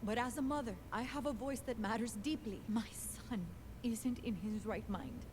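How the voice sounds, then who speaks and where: A woman's voice: fairly high pitch, moderate pace, darkish tone, a little hiss or hum, close to an everyday voice. A woman playing a pleading working mother. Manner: earnest, pleading.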